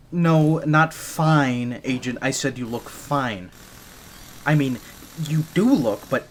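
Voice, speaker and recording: A man's voice: a little high, a little hiss or hum. A man playing a shy, easily flustered gadget maker for a secret agent in an audio roleplay, speaking nervously and stammering. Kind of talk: scripted ASMR roleplay, one side of a dialogue.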